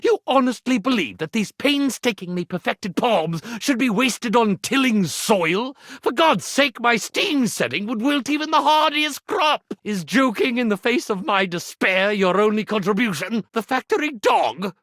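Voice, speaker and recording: A man's voice: extremely high pitch, expressive, a little slow, hardly any pauses, fairly bright tone, no room sound, clean studio sound, exaggerated voice. An actor playing a theatrical, grandiose, angst-ridden male magician in a video game, with pompous, florid, melodramatic delivery. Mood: indignant, then despairing, tragic. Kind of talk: animated video game character acting.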